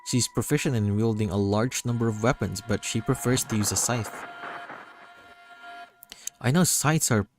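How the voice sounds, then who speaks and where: A man's voice: lowish voice, normal speed, long pauses, slight background noise. A man reading a visual novel aloud as narration on a livestream, sometimes stumbling and rereading. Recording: music behind the voice. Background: music.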